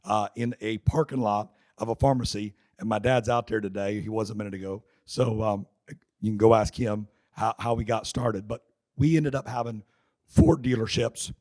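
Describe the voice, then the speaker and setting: A middle-aged man from North Carolina, giving a joking, chatty seminar talk with a raspy voice hoarse from laryngitis. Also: on the low side, steady tone, normal speed, darkish tone. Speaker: seminar speaker. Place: conference hall. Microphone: handheld mic.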